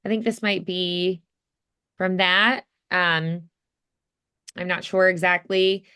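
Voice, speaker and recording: A woman's voice: on the low side, steady tone, takes it slowly, bright, forceful tone, clear, resonant tone. A woman coaching voice acting in a casual one-on-one lesson, thinking aloud and encouraging as she goes.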